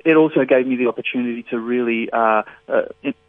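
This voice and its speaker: A man's voice: mid-range voice, quick pace, no echo. Man on a tinny phone line. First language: Farsi. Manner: thoughtful, many fillers and restarts. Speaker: translator. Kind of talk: radio interview guest.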